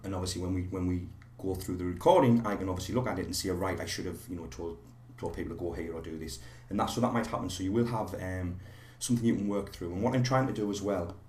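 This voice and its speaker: Hoarse man teaching a live webinar. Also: deep voice, a little mellow, roomy sound. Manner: rambling, many fillers and restarts. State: slightly hoarse from a cold. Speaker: online course trainer.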